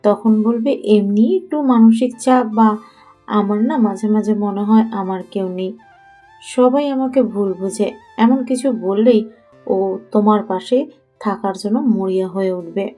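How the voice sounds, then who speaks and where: A woman's voice: medium pitch, unhurried, dark tone, faint music behind, close to an everyday voice. A woman acting a bossy, sly young woman who coaches her friend in an audio story, persuasive and assured. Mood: sly coaching.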